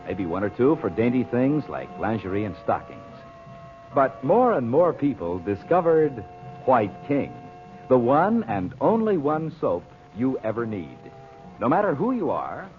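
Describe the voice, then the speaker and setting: A male radio announcer reading a sponsor message in polished, theatrical 1940s style. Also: mid-range voice. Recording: tinny, phone-like old radio recording. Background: music.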